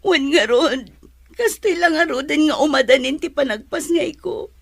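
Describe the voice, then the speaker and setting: A woman's voice: high-pitched, animated, measured pace, vocal fry, dry recording, exaggerated voice. A woman playing a hurt, pleading pregnant young wife in an Ilocano radio drama. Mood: distressed.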